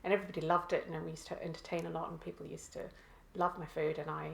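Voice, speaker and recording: Gravelly-voiced woman, hesitant, roomy echo. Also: sits low, little pitch movement. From Malawi. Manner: hesitant, many pauses and restarts. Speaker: guest, cookbook author. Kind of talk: conversational interview answers. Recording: echoey room.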